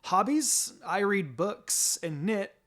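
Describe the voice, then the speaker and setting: A man's voice: sits high, lively intonation, takes it slowly, a bit bright, pitched above the performer's own voice, heavily acted. A man voicing a composed, instructive woman ninja teacher in a fan fiction reading. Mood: friendly. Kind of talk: fan fiction character dialogue. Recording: music behind the voice.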